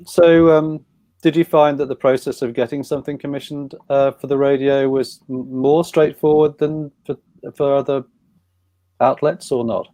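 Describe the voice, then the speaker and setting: A man's voice: mid-range voice, fairly even intonation, normal speed, warm tone, dry recording. A man interviewing a writer, asking long, hesitant questions that wander before they land. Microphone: video call.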